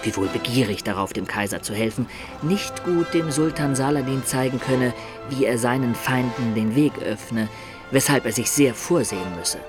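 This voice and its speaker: An actor playing a loyal, observant companion who recounts a medieval campaign in measured, detailed radio drama narration. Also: male voice, mid-range voice, over music, lightly acted. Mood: recounting.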